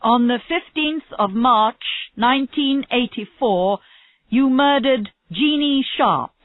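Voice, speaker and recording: A woman reading a storybook, playing a stern court official who formally declaims charges in measured phrases. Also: fairly high pitch, voice pushed up. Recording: roomy, slightly dull lo-fi audio.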